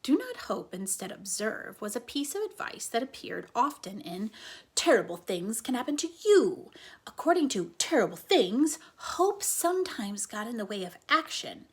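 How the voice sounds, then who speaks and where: A woman reading a children's book aloud as narrator, expressively and with a slightly husky, breathy voice, explaining things to young listeners. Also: on the high side, very animated, normal speed. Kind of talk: children's storybook reading with brief asides.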